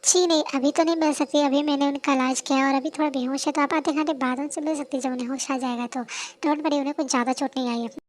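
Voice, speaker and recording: A young woman voicing a calm adult doctor who speaks in a measured, reassuring way. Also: high-pitched, lightly acted.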